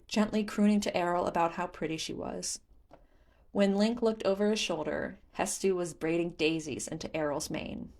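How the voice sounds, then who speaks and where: A woman's voice: on the low side, a little mellow. A woman reading scripted story narration as the narrator of a fan fiction audiobook.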